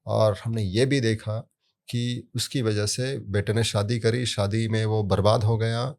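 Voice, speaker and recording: A low-pitched man speaks in an easy, explaining way, weaving family stories into his points. He pushes sudden emphasis onto the words that matter, then drops back to a calm, even tone. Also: measured pace, creaky. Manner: storytelling with anecdotes, emphatic rises on key words. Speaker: sound therapy guest. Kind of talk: conversational explaining.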